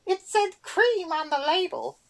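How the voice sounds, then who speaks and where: Erder: prim fussy old witch, very high and dainty, played by a male narrator. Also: wildly swooping pitch, normal speed, creaky, full character voice.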